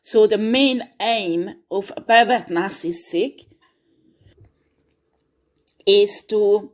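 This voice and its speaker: Slow-talking woman giving frank advice. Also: mid-range voice, darkish tone. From not France. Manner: earnest, frank, many fillers and restarts. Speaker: tarot reader. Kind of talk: direct-to-camera advice talk.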